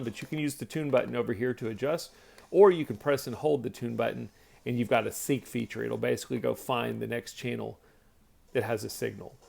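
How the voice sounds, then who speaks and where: A man with a mid-pitched voice that often settles into creak talks through a product demonstration. His delivery is plainspoken and casual, explaining each feature in simple steps. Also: darkish tone. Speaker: product reviewer.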